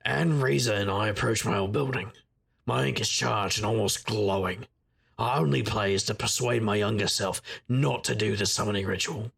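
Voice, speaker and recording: A man's voice: fairly low pitch, normal speed, creaky, a bit bright, breathy edge, exaggerated voice. A man playing a wry, brooding middle-aged magician detective, narrating an audio drama in first person.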